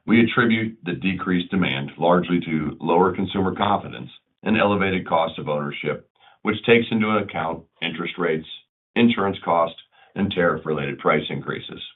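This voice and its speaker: Deep-voiced male executive on a conference line. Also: moderate pace. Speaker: company chief executive. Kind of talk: prepared corporate earnings remarks, read out. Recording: muffled conference phone line.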